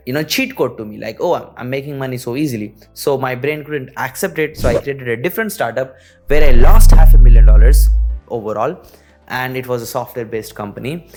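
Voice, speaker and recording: A young man from India with an Indian accent, talking earnestly about his business, dropping lots of "you know" and "actually". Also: medium pitch. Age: young adult. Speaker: business owner. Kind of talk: direct-to-camera business talk.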